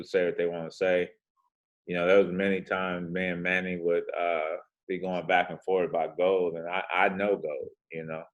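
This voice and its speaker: A man with a soothing voice casually walking through trading charts, laid-back and slangy with rambling asides. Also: low voice. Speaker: forex trading host.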